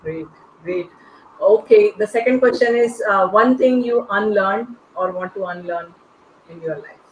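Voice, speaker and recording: Panel moderator, mid-range voice, informal halting delivery, dull echoey call audio with faint noise. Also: medium pitch.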